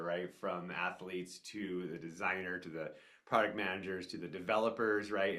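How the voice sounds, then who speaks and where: Male interview host, lowish voice, relaxed questions, frequent tag questions. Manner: encouraging, asks leading questions ending in "right?". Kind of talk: casual interview conversation.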